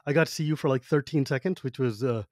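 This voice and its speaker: A man's voice: medium pitch, fast talker, darkish tone, dry recording, clean studio sound. A male podcast host telling stories in casual chat, with a chatty, enthusiastic, slangy manner. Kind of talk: casual chat, animated storytelling.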